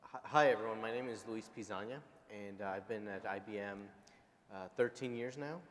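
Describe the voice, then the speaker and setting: A man's voice: fairly low pitch, a little fast. A man from El Paso, Texas, introducing himself on a live panel in a hesitant way, with many fillers. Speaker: panelist, engineering manager. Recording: reverberant hall with faint room noise.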